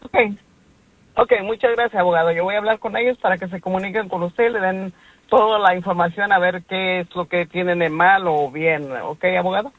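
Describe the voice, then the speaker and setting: A woman calling in to a radio show. She asks quick questions politely and deferentially. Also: fairly low pitch, steady tone. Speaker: caller. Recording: dry, tinny phone line.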